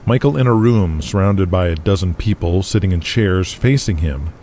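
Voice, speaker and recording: A man's voice: low voice, animated, normal speed, darkish tone, slight background noise. A man reading dramatic scripted narration in the present tense as the narrator of an audio drama. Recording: music playing behind.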